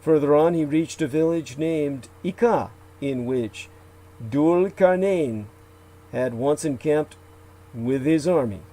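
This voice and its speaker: A man reciting old legends aloud, with slow, deliberate delivery, archaic phrasing and occasional asides where he corrects himself. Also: on the high side, mellow, rough recording. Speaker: radio show guest.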